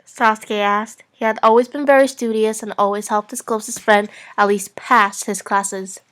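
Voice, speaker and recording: A woman's voice: medium pitch, fairly even intonation, normal speed, a bit bright, a little hiss or hum. A young woman reading fan fiction narration aloud as an amateur, playful and prone to giggling.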